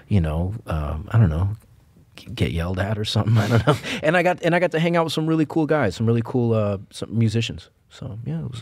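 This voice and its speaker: A man's voice: on the low side, breathy edge, dry recording. A man, an actor guest on a podcast, telling stories in casual chat, acting out quotes and laughing often. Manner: animated storyteller, acts out quotes, laughs often.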